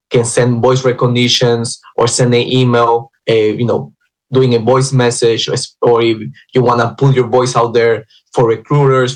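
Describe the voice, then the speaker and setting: A recruiter on a panel giving job-hunting tips to listeners. His voice stays very flat, with hardly any rise or fall, and he speaks in a steady, advising manner with occasional fillers. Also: medium pitch, low-quality recording. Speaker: recruiter at staffing agency. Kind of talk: panel discussion.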